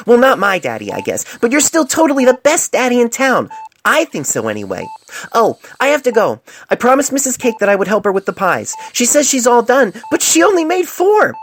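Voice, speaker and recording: A hyper, childlike female party pony, gushes in a very high, loud voice for an amateur audio story. She rambles and exaggerates, swinging widely in pitch. The phone recording is clearly noisy. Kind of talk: fan fiction audio story, letter read aloud.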